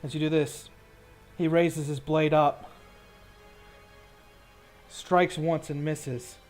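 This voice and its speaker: A man's voice: on the high side, little pitch movement, slow pace, darkish tone, soft background music. A man narrating a tabletop role-playing game as game master, casual and descriptive.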